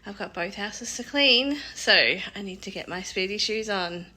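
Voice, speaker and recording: A woman, chatting casually to her phone camera in a family vlog, her voice a little croaky. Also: mid-range voice, lively intonation, measured pace, bright, forceful tone. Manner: chatty, laughs between sentences. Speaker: family vlogger. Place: outdoors by a busy road. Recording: clear traffic noise outdoors.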